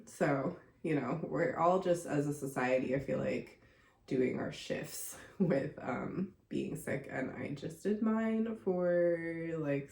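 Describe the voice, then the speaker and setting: A woman's voice: low voice, darkish tone, roomy sound. A young woman hosting her own podcast, chatting casually with lots of fillers and sounding congested from a recent illness. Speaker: podcast host and contortion coach. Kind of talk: casual solo podcast monologue.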